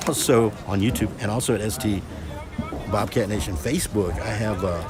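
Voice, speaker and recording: A middle-aged man hosting a casual livestream of a football scrimmage, loud, talkative and joking. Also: on the low side, fairly quick. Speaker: livestream sports host. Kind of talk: casual sports commentary chat. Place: outdoors at a football field. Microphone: phone camera. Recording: crowd noise at outdoor game. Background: crowd at a game.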